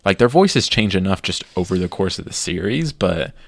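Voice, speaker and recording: A man co-hosting a podcast in casual chat, giving opinions with halting restarts. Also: sits low, sing-song, vocal fry, no room sound. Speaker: podcast co-host.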